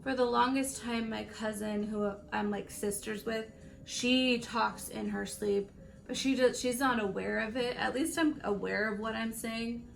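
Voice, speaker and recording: A woman in her late twenties with a raspy voice, chatting casually through a makeup tutorial and rambling between stories with laughs. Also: fairly high pitch, fairly even intonation, a little slow, soft background music. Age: late 20s. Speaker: beauty vlogger.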